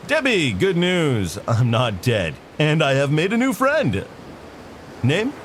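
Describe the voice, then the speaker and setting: A man's voice: a little high, wildly swooping pitch, slow pace, a little hiss or hum, heavily acted. A man playing an eccentric, chatty FBI agent in an audio drama, with formal, articulate and quirky speech. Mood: brisk, quirky. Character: eccentric, chatty male FBI agent dictating to tape recorder.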